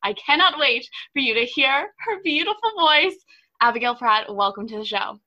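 A young woman in her twenties hosts a casual podcast, gushing and enthusiastic. Her voice is bright, with a clear, forward edge, and sits a little high. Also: lively intonation, normal speed, dull audio. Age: 20s. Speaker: podcast host.

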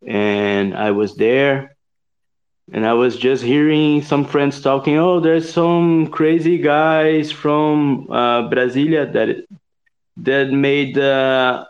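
A man being interviewed as a guest artist, nervous and hesitant, pausing and repeating himself. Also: mid-range voice, highs cut off. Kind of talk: interview answers.

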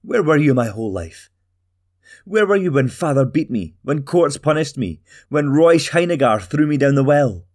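A man playing a bitter, vengeful young man who hisses and shouts his resentment at his mother. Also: sits low, lively intonation, measured pace, vocal fry, hardly any pauses, breathy edge, heavily acted. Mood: bitter, shouting.

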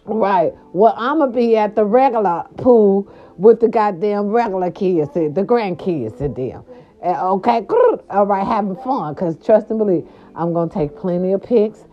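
A grandmother from California talking casually to her viewers, animated and chatty, with salty slang and lots of "right, right" fillers. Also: medium pitch, moderate pace. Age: older. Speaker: vlogger. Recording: dull lo-fi sound.

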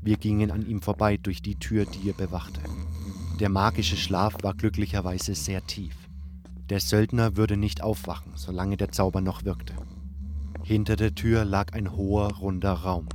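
A man with a low voice narrates a fantasy tale as a scripted audiobook. His delivery is measured and dramatic, with music clearly playing behind him. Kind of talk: scripted fantasy story narration in first person.

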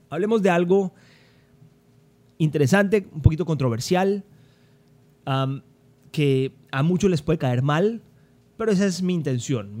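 A man's voice: a little high, darkish tone. A young adult man from Cali, Colombia, with a Colombian accent, hosting a solo podcast in an emphatic, conversational way and often repeating words as he thinks. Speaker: podcast host.

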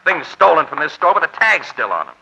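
An actor playing a brash, wisecracking, slangy newspaper photographer in old-time radio drama style. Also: male voice, a little high, sing-song, brisk, vocal fry. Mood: wry, brash. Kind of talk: 1940s radio acting. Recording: dull, noisy old radio recording.

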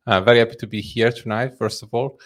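A man from Italy with an Italian accent, introducing himself as a guest co-host in a relaxed way. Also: medium pitch, fast talker. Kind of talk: casual self-introduction.

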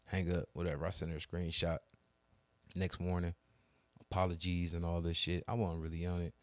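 A man's voice: deep voice, little pitch movement, brisk, dry recording. A young man telling a long, profane story in casual podcast chat, acting out both sides of the arguments. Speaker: podcast co-host.

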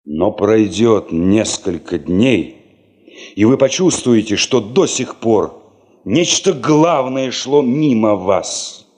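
A man narrating a Soviet radio play in a measured, reflective literary style. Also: fairly low pitch, lively intonation, a little mellow.

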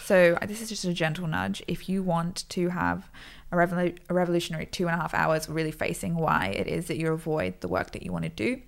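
A woman co-hosting a podcast, chatting in an easygoing way, tired and low on energy. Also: fast, gravelly, few pauses. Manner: easygoing, laughs often. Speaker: podcast co-host. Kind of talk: casual chat.

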